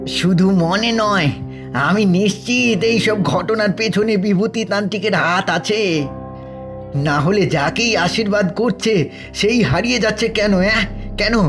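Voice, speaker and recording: An actor playing an old, grieving, suspicious village man in an audio horror drama, halting and insistent, repeating phrases for emphasis. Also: high voice. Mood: urgent warning. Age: older.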